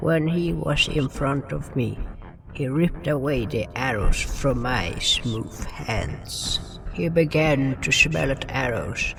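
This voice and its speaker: Very slow, gravelly male storyteller. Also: mid-range voice, expressive, fairly bright tone. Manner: solemn storytelling. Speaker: narrator and writer. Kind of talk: scripted first-person fantasy narration. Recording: distant voice, music underneath.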